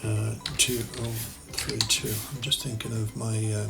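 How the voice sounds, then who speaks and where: Irish guy doing a cad tutorial, gravelly voice, thinks out loud, fixes himself mid-sentence. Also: fairly low pitch, unhurried, loud music behind the voice. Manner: relaxed, thinks aloud while working, self-correcting. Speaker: architectural designer and CAD tutor. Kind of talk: screen-recorded software tutorial. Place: voice-over for screen recording.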